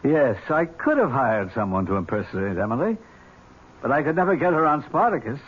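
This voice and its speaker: An actor playing a wry, unflappable, teasing uncle in a 1970s radio drama, with a hesitant, mock-innocent manner. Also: male voice, mid-range voice, big pitch swings, brisk, lightly acted. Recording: tinny, noisy old radio recording.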